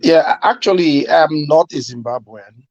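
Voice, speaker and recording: A man from Nigeria calling in to give his opinion, speaking in a measured way with many fillers. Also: on the high side, a little mellow, muffled recording. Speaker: caller. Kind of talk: call-in opinion.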